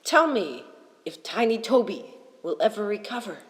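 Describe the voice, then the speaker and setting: A woman's voice: mid-range voice, big pitch swings, normal speed, natural voice. A woman playing an anxious, reluctant miser in a storybook drama, with a dramatic, pleading manner. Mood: concerned. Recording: long hall reverb.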